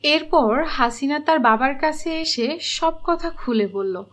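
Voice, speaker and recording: A woman's voice: high voice, takes it slowly, fairly bright tone. A woman reading scripted storybook narration for a children's cartoon.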